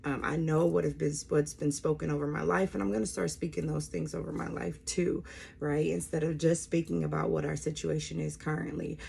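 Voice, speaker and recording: A woman's voice: low voice, fairly even intonation, brisk, creaky, mellow, slight background noise. An American woman in her thirties talking casually and candidly to camera as a budgeting vlogger, often checking in with the listener, recorded on a phone. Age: 30s. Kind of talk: casual, personal talk to camera.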